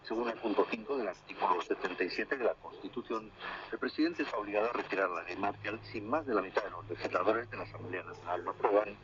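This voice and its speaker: A male radio newsreader delivers scripted headlines and reports in a formal, measured way. His voice is on the low side. It comes through a dull, lo-fi shortwave reception that makes him sound far off. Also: crowd noise. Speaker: radio news presenter. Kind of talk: scripted news bulletin reading. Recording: muffled shortwave radio reception, distant, crackly.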